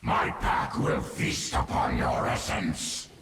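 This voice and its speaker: A man's voice: a bass voice, animated, slow pace, fairly bright tone, heavily acted. A man voicing a savage, menacing alien pack leader in a video game, growling short declamatory threats. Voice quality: growling, monstrous. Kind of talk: video game villain acting. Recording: echoing, distant, noisy with long reverb.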